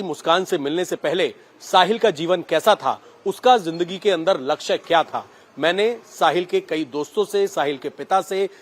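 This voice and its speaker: A man working as a news reporter, explaining a case in narrated report style. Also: high voice.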